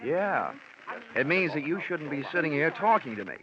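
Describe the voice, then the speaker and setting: A man's voice: on the high side, sing-song, pushed and bright, a little hiss or hum, heavily acted. An actor playing a wisecracking male insurance investigator, narrating and quipping in 1940s radio drama style. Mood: wry. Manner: dry sardonic quips, brisk narration. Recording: dull lo-fi old radio broadcast.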